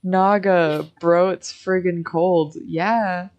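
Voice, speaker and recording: A woman's voice: lowish voice, steady tone, takes it slowly, a little mellow, highs cut off. An American woman chatting casually as she hosts a game livestream, with a giggly, slangy, informal manner. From Michigan, United States. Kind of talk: casual livestream chat while gaming.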